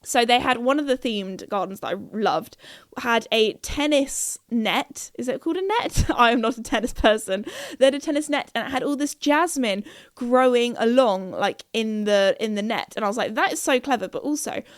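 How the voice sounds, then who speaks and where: Young woman, podcast host, chatty and rambling, bit croaky, fairly high voice, dry close sound. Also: lively intonation, normal speed, no room sound. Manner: rambling, jumps between topics, many fillers. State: slightly croaky with a cold. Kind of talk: casual solo podcast chat.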